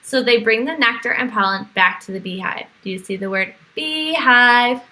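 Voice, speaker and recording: A woman reading aloud as a teacher to young children, with animated exclamations, questions and explanatory asides. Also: on the high side, normal speed. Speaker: teacher reading to a virtual class.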